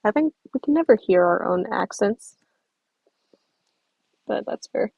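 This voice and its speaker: A woman's voice: medium pitch, lively intonation, a little slow, gravelly, a little mellow, husky, dry recording, lo-fi sound. A young woman from the American South, chatting casually on a livestream while reading out viewer comments. She rambles in a friendly way, uses many fillers and laughs easily. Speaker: stop-motion creator streaming.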